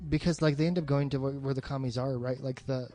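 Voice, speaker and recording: A man's voice: medium pitch, steady tone, quick pace, warm tone, faint music behind. A man chatting casually as a podcast co-host, retelling a plot in colloquial, sweary language. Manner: profane, colloquial.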